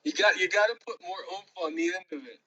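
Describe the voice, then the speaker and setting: Man, bright, animated player, teasing, fairly high pitch. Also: fast talker, highs cut off. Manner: laughs often, questioning and teasing. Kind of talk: casual tabletop game chat.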